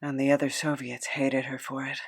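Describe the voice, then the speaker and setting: Woman voicing a grim, low captive monster. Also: flat delivery, moderate pace, husky, full character voice. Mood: grim, resentful. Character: bitter captive monster telling its past. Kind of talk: audio horror drama narration.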